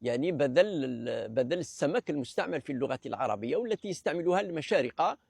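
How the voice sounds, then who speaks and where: A man's voice: a little high, lively intonation, gravelly, a little mellow, breathy edge, dry recording. A man from Algeria giving an unscripted lecture, explanatory and hesitant, with many fillers and restarts. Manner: measured, explanatory. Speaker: presenter of a language talk series.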